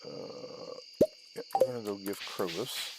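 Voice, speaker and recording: A man giving casual commentary on a game he is playing, muttering and thinking aloud in short fragments over loud noise and clicks. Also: on the low side, unhurried. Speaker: gaming video host.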